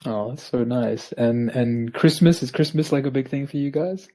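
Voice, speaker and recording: A man's voice: medium pitch, normal speed, mellow. Aussie-guy hosting an interview, friendly, lots of ums and restarts. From Peru, raised in Australia. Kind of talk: casual interview chat.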